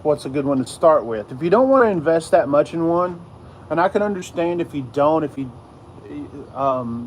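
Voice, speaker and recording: A middle-aged man giving a casual, unscripted hobby explainer, halting and often repeating himself as he circles back to his points. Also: medium pitch, rough recording. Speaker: radio hobbyist video host. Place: outdoors in a yard. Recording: outdoor noise with insects and traffic.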